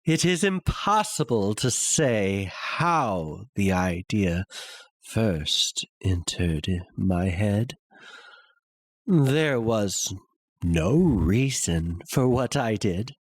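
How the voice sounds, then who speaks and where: A breathy, husky madman confesses in a gothic horror tale, acted out by a male narrator. He speaks extremely slowly, with long theatrical pauses, and his pitch swings widely as he insists on his sanity. The sound is close and dry. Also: big pitch swings, no room sound, exaggerated voice. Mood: halting, uneasy. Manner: halting, theatrical, with long pauses and sudden swells. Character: obsessed madman confessing a murder, insisting he is sane. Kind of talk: dramatic reading of a classic horror story.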